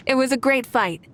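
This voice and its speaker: A woman's voice: sits high, fairly bright tone, slight background noise, heavily acted. A woman playing a noble, confident female warrior leader in a game, speaking in a composed, resolute and formal way. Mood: warm, amused. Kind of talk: dramatic game voice acting.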